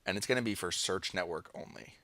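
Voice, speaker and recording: A man's voice: sits low, fast talker, pushed and bright. A man with an American accent presenting an informal screen-share tutorial, thinking aloud as he demonstrates each step. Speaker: online marketing tutorial presenter. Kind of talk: casual screen-share walkthrough, partly improvised.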